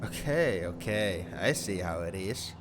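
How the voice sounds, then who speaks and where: A man playing young guy, lowish voice, intimate ASMR roleplay, reflective and playfully defensive, clear car noise behind. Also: expressive, measured pace. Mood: mock offended, teasing. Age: young adult. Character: teasing, sentimental young man heading to university. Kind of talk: intimate ASMR roleplay, one-sided conversation.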